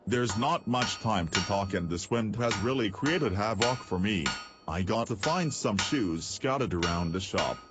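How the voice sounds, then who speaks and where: Deep-voiced, brusque farrier played by a man. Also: animated, measured pace, background noise. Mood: busy, brusque. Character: busy, brusque farrier at work. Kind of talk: children's audio story character acting.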